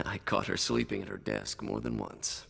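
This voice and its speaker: An actor playing a weary, dry alien ex-information trader in a video game, with a gravelly rasp and clipped delivery. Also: male voice, extremely low pitch, sing-song, unhurried, a bit bright, a little hiss or hum, exaggerated voice. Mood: subdued, fond. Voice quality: gravelly, rasping.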